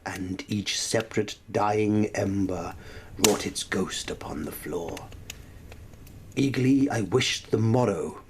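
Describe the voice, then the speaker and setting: A man recites dark gothic verse in a fairly low voice. He takes it very slowly and theatrically, often sinking toward a hushed near-whisper. There is faint noise in the recording. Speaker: poetry reader. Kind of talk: dramatic recitation of a gothic poem. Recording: noticeable background noise.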